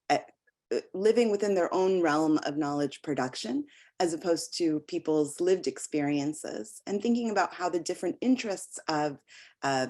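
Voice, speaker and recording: An American woman, an academic researcher, giving an explanatory slide lecture in a halting way with frequent pauses and ums. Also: low voice, dark tone. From United States.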